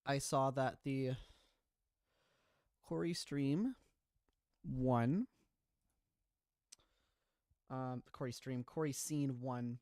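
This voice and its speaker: A man's voice: mid-range voice, steady tone, drawn-out words, long pauses, darkish tone, smooth, no echo, clean studio sound. A man in his mid-twenties, a streamer chatting casually and rambling with frequent laughs while reading his chat. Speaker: streamer and animator.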